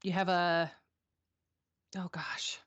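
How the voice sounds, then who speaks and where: A woman in her thirties chats as a guest on a streaming interview. Her voice sits low for a woman, and she talks in a halting way, filling her gaps with ums and restarting her sentences. The sound is dry, with almost no room. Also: level tone, a bit bright, clean tone, no echo, dull audio. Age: 30s. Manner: hesitant, many ums and restarts. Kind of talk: casual interview answers.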